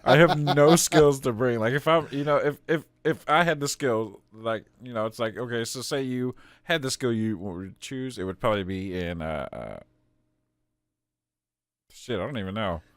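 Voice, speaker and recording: A man co-hosting a podcast in casual chat, animated and laughing often, with a voice others praise as fit for voiceover. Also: medium pitch, normal speed, no room sound. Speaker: podcast co-host.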